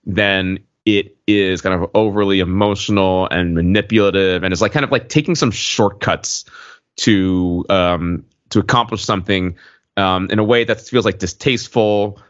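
A man's voice: sits low, moderate pace. A man, probably middle-aged, chatting casually as a podcast co-host, explaining things in a wordy, hesitant way with many asides. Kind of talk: casual podcast chat, explaining video game slang. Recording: muffled audio in an echoey room.

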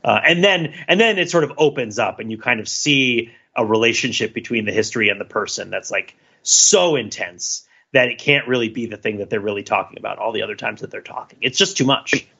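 A man's voice: mid-range voice, brisk, vocal fry, few pauses, sharp tone, breathy edge, muffled recording. A man co-hosting a podcast in casual discussion, talking in rambling runs with many restarts and fillers. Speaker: podcast co-host.

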